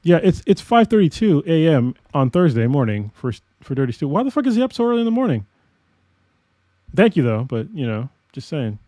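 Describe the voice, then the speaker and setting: A man's voice: on the high side, big pitch swings, fairly quick, warm tone, no echo. An American man co-hosting a podcast, telling stories in a rambling way with lots of fillers and laughs. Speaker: podcast co-host. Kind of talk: casual chat.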